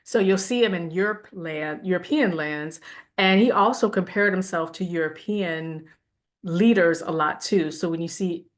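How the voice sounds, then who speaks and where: A woman lecturing a class in a casual, conversational way, animated and laughing at times. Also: fairly low pitch, moderate pace.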